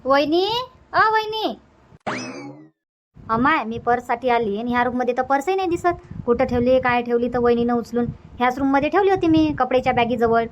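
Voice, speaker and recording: Woman playing high-voiced, flustered village sister. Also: expressive, fairly quick, a little hiss or hum. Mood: fretful. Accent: Marathi of Vidarbha. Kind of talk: cartoon comedy dub.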